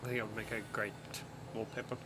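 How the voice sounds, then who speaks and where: A man's voice: lowish voice, fast talker. A man giving casual, chatty commentary as he streams a video game. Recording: steady background noise.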